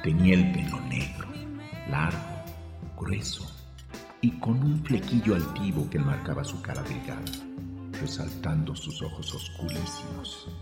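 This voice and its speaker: A man's voice: a bass voice, huge pitch swings, a little slow, heavily acted. An actor with a Mexican accent playing an anxious, obsessed man of thirty-two who narrates a radio drama in the first person. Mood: brooding. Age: 30s. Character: obsessed, anxious 32-year-old man narrating his troubled thoughts. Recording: echoing long reverb, music underneath.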